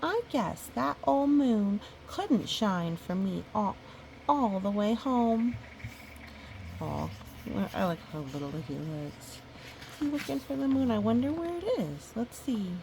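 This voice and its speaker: A woman reading a children's picture book aloud, gently, breaking off for musing, chatty asides to a young listener. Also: fairly high pitch, big pitch swings, slow, gravelly, background noise. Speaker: storybook reader.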